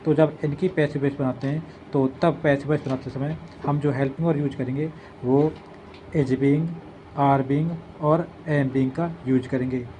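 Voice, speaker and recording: A man's voice: medium pitch, fairly even intonation, measured pace, mellow, low-quality recording. A man with an Indian accent teaching step by step in a methodical, explanatory way, like a classroom grammar teacher. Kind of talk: step-by-step classroom-style lesson. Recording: faint background noise.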